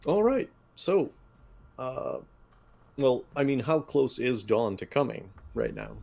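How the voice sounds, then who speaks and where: A man's voice: medium pitch, very animated, slow, gravelly, a little mellow. Casual man playing at the table. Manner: casual, offhand. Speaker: player. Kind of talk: casual tabletop game chat.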